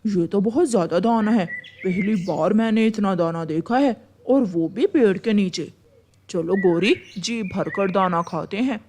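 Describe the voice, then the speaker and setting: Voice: medium pitch, unhurried, a little mellow, slight background noise, close to an everyday voice. A performer voicing an earnest, helpful, worried male pigeon in a children's cartoon. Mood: eager.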